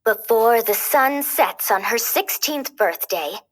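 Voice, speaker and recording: A woman voicing an evil sorceress in a storybook, mock-polite and deliberate as she threatens. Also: a little high, expressive. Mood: coolly menacing. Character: evil sorceress, coolly wicked, cursing a baby.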